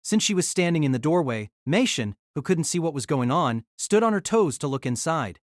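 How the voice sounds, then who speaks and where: A narrator telling a fan audio drama story in an expressive storytelling manner. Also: medium pitch, normal speed, no room sound, studio quality.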